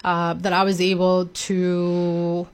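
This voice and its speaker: A woman's voice: lowish voice, completely flat delivery, slow pace. Earnest woman. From Mexico. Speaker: nonprofit executive director being interviewed. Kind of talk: conversational interview answers.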